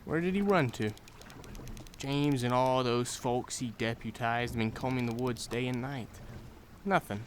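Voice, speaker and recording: An actor playing a curious young adult son who keeps pressing with questions in a radio drama. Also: male voice, mid-range voice, unhurried, slight background noise, lightly acted. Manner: insistent, questioning.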